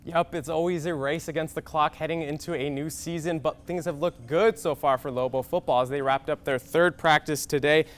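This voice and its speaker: A young American man in his twenties anchoring a scripted TV sportscast with game highlights. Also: fairly even intonation, a little fast, hardly any pauses, slight background noise. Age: 20s.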